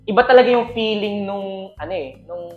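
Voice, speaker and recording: A man's voice: high voice, normal speed, narrow, tinny audio. A man with a Filipino accent chatting casually as a podcast co-host, slipping into English. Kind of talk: casual chat, mixing in English. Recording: roomy echo, music underneath.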